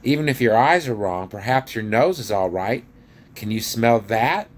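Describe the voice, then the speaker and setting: A man's voice: on the low side, animated, moderate pace. A man voicing a kind, earnest young girl with an imploring manner in a storybook reading. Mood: coaxing.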